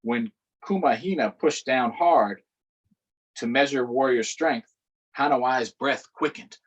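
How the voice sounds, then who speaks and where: A man reading a picture book aloud to children in an unhurried way, pausing for short explanatory asides. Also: mid-range voice, husky, low-quality recording. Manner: unhurried, adds explanatory asides to young listeners. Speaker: storytime reader.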